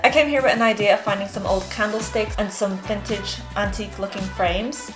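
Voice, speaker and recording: A woman's voice: mid-range voice, steady tone, brisk, over music. A woman vlogger talking casually and enthusiastically as she narrates a shopping trip.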